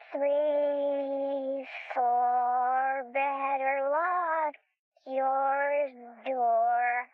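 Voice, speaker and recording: A man speaking through an AI voice changer as a creepy doll, in a slow sing-song. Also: extremely high pitch, dry recording, voice pushed up, exaggerated voice. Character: creepy little doll girl, menacing. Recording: tinny, dry, phone-like sound.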